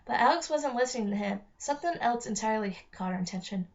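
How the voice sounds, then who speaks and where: A woman with a fairly high voice reads a children's story as narrator. She now and then trips on a word. The recording is dull and lo-fi. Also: animated, fast. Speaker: narrator reading a novel aloud.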